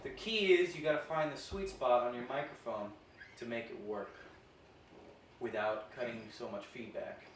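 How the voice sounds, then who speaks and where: A man talks informally through a product demo, explaining as he goes. His medium-pitched voice rings with the echo of the room, and there is some noise behind it. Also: moderate pace. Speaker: host reviewing guitar gear. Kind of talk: casual product demo and review. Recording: roomy echo, noticeable background noise.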